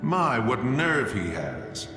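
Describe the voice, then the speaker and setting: A man's voice: mid-range voice, big pitch swings, slow, sharp tone, reverberant, long reverb tail, soft background music, exaggerated voice. A man playing a genteel, fussy immortal in a game, with courtly and effusive flowery speech. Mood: alarmed. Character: genteel, fussy male immortal adeptus with a love of gardening. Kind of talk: scripted game voice acting.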